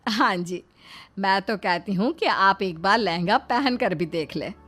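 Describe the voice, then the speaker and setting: A woman's voice: medium pitch, animated, a little slow, a bit bright. A woman voicing an earnest, hardworking mother-in-law who sews, in a family story. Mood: eager. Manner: earnest, explaining. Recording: faint background music.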